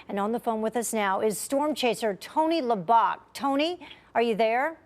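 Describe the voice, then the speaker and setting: Female news anchor, somewhat high voice, live breaking-news delivery, interview questions. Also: lively intonation, moderate pace, background noise.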